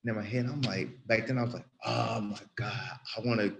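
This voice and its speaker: A man of about thirty speaks in a slightly low voice, sharing an unscripted church testimony. He talks earnestly and loosely, circling back and repeating phrases as he goes. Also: fairly even intonation, brisk, creaky, fairly bright tone, a little hiss or hum. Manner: earnest, rambling, with frequent restarts and repetition. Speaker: church member giving testimony. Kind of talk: spontaneous spoken testimony.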